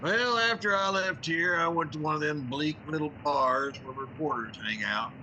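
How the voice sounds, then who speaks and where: Sharp, scrappy newspaper reporter, played by a man. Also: on the high side, normal speed, highs cut off, a little hiss or hum. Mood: weary, tipsy. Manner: wisecracking, colloquial. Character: wry, scrappy male newspaper reporter, hard-drinking. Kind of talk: 1950s radio drama acting.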